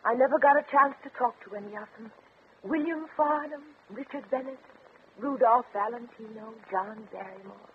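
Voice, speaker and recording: An actress playing a shy, lovelorn, nervous older tea room owner, hesitant and fluttery, in 1950s radio comedy style. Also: female voice, a little high, normal speed, lightly acted. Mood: wistful, shy. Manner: hesitant, fluttery, easily flustered. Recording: crackly, tinny old radio recording.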